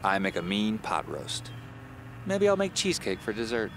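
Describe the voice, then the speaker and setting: A man's voice: fairly low pitch, sing-song, moderate pace, a bit bright, a little hiss or hum. A man voicing a kindly, grandmotherly widow with a folksy, teasing, chatty manner in an audio drama.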